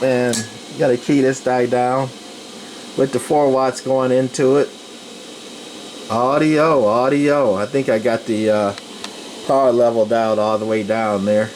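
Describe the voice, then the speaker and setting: A man giving a casual, plain-spoken hands-on equipment demonstration, narrating as he works. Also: medium pitch. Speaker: radio hobbyist presenter. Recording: noisy room with steady equipment hum.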